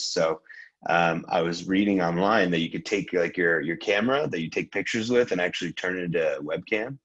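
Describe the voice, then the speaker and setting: A man from Iran giving a casual presentation on a video call, relaxed and loose, with frequent ums and restarts. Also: low-pitched, lo-fi sound. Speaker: guest speaker, venture capitalist.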